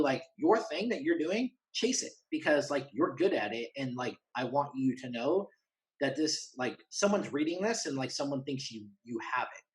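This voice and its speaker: A man talking candidly and casually as a podcast guest, earnest and halting, often voicing quoted asides. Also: fairly high pitch, low-quality recording.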